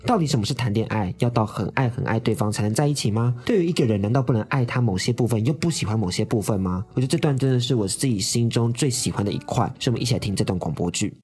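A man's voice: medium pitch, expressive, very fast, few pauses, a little mellow. A man with a Taiwanese accent hosting a radio book show in conversational commentary. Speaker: radio host.

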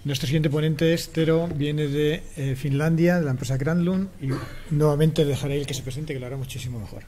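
A man's voice: a little high, steady tone, fast, warm tone, a little hiss or hum. A man from Spain introducing the next speaker as a conference moderator. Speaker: session moderator. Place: indoor conference hall.

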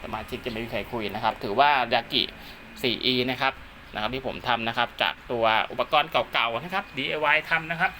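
A man's voice: mid-range voice, brisk, a little hiss or hum. A man narrating a casual hands-on demo of radio equipment at home, talking steadily and politely. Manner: steady, polite, ends nearly every phrase with a polite particle. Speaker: amateur radio hobbyist vlogger. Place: room at home by a window.

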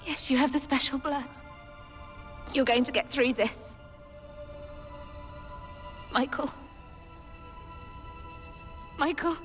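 A woman's voice: high voice, slow pace, long pauses, a bit bright, long reverb tail, soft background music. An actress playing a distraught, fiercely protective, proud woman in grief, upset and tearful, in a video game drama. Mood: grieving, pleading. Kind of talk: emotional video game acting.

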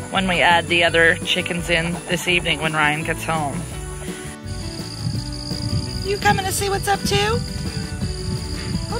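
A woman's voice: mid-range voice, rough recording. A woman on a homestead chatting casually as she films her animals outdoors, laughing often and talking sweetly to them. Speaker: homestead vlogger. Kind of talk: casual narration while doing farm chores. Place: outdoor farm pens and pasture.

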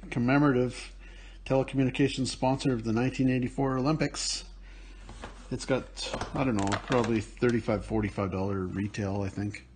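A man talks slowly and loosely over a pile of old finds, thinking aloud and trailing off. His voice sits in a middle register. The home recording carries a clear layer of background noise. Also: medium pitch. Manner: relaxed, rambling, thinking aloud with fillers. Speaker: picker and vlogger. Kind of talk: casual unboxing commentary. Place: indoors at a table, off camera.

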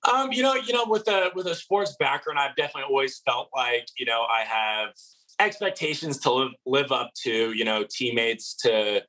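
A man's voice: mid-range voice, animated, moderate pace, sharp tone, rough recording. A man in his twenties, a reality show contestant, answering interview questions over a video call, earnest with many restarts and fillers. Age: 20s. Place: apartment living room.

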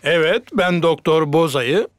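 A man voicing a kindly, calm bear doctor in a children's cartoon dub, reassuring and unhurried. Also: on the high side, no echo, natural voice.

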